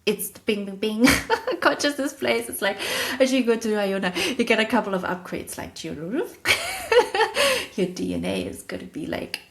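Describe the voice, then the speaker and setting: A woman's voice: fairly high pitch, big pitch swings, measured pace, creaky, fairly bright tone. A woman with a German accent hosting a casual solo talk, rambling and correcting herself as she goes, often laughing at herself. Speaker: podcast host.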